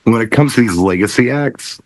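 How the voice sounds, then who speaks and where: A man's voice: mid-range voice, brisk, no room sound. A middle-aged man co-hosting a casual music chat in a musing, conversational way. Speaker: talk show co-host.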